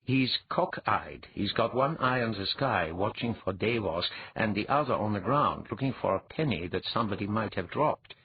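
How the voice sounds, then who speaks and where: A wry, seasoned mentor in an audiobook tale, played by a male narrator. His delivery is dry and commanding, with a very husky tone and animated pitch. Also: moderate pace, few pauses, narrow, tinny audio, heavily acted. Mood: amused. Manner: dry, unruffled, instructive.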